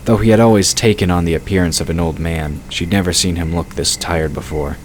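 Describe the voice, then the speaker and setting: Low-voiced man narrating a fantasy story. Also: steady tone, brisk, slight background noise, poor audio. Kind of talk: scripted fantasy audiobook narration.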